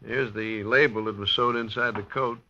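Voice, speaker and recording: A man's voice: lowish voice, steady tone, moderate pace, bright, forceful tone. An American man playing a businesslike, wry police medical examiner in a 1950s radio crime drama. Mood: matter-of-fact. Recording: dull, noisy vintage radio recording.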